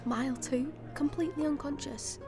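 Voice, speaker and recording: A woman narrator acts out a young female assassin from a fantasy audiobook. Her voice is raised and whispery, playful and happy to mock herself. Music plays clearly behind her. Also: high-pitched, steady tone, normal speed, pitched above the performer's own voice. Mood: wry, playful. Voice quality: hushed, breathy whisper. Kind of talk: audiobook character dialogue.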